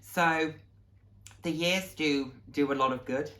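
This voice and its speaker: A man's voice: fairly high pitch, takes it slowly, fairly bright tone. Male vlogger, late 20s; heartfelt, encouraging, informal delivery with a reflective streak. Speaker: vlog host. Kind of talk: casual heartfelt talk to viewers.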